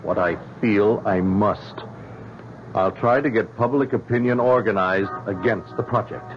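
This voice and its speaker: An actor playing a troubled, obsessive scientist in a 1970s radio drama, speaking in a halting, insistent and defensive way. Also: male voice, fairly low pitch, faint music behind, lightly acted. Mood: defensive, resolved. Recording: muffled vintage radio broadcast.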